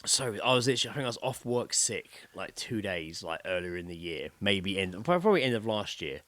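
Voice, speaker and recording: A man's voice: on the low side, very animated, a little fast, a bit bright, no echo. A British man co-hosting a casual comedy podcast, talking bluntly and emphatically with plenty of swearing. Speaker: podcast co-host.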